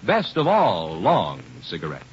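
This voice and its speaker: A man's voice: huge pitch swings, a little slow. An American man reading a 1950s radio advertisement as an emphatic commercial announcer. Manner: emphatic sales pitch. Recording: dull, noisy old radio recording.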